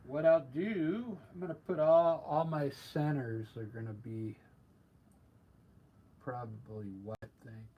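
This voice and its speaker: A man talks quietly while he paints, thinking aloud in a relaxed, unhurried way. His voice is a little scratchy, and he speaks into a clip-on mic in his art studio. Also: on the high side, lo-fi sound. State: scratchy voice from singing and allergies. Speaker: artist livestreaming painting. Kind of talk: casual live painting commentary. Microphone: clip-on mic after plugging it in.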